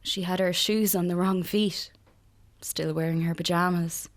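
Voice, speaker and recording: Low-voiced, fragile young Irish woman, played by an actress. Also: steady tone, unhurried, natural voice. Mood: subdued, vulnerable. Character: fragile, hopeful young woman recovering from a crisis. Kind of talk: naturalistic radio drama acting.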